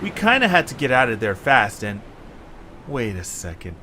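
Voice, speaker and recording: A man playing an excitable, earnest young adventurer leading a stranded group in an audio drama. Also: medium pitch, moderate pace, natural voice. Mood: puzzled. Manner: exclamatory, animated, earnest. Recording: clear background hiss and noise.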